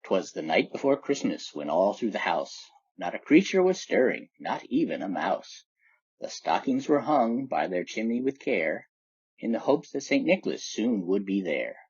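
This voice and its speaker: A man with a husky voice reading a storybook poem aloud expressively. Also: on the low side, very animated, moderate pace, a little mellow, lo-fi sound. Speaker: poem reader.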